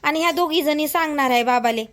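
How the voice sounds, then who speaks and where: A woman plays an anxious young village wife in a homemade cartoon. Her voice is extremely high, and she pleads and protests in a whining way with a rural Vidarbha Marathi accent. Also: fast, a bit bright. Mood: anxious, pleading. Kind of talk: amateur cartoon dialogue in rural dialect.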